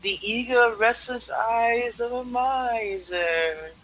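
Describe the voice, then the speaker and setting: Performer as a slow, solemn ghostly spirit guide. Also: mid-range voice, sharp tone, rough recording. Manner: measured, portentous. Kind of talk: theatrical amateur play reading.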